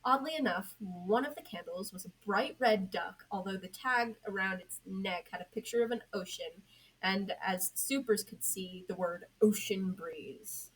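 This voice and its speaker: Young female fiction narrator, slightly husky voice, reading prose aloud. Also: mid-range voice, unhurried, fairly bright tone, poor audio. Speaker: podfic narrator. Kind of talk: scripted story narration.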